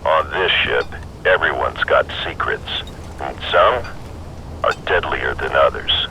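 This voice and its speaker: A man playing a gruff older starship engineer in an audio drama, with a folksy, clipped, probing way of talking. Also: very deep voice, takes it slowly, lo-fi sound, noisy background, exaggerated voice. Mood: ominous warning. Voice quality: gruff, gravelly.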